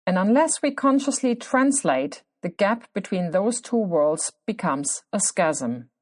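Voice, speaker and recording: A woman with a German accent, a native German speaker who works as a clinician, hosts her own podcast. Her voice sits in a middle pitch, and she narrates from a script at a measured, deliberate pace, pausing for emphasis. She sounds middle-aged. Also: animated, darkish tone. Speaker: podcast host.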